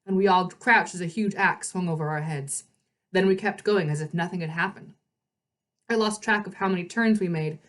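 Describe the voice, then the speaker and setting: A woman reading a story aloud as narrator, in an expressive storybook style. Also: fairly low pitch, normal speed.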